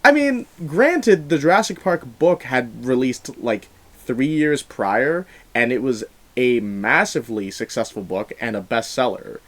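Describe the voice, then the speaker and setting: A man hosting a film review show, talking in a casual, animated and emphatic conversational way. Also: mid-range voice, a little slow, hardly any pauses.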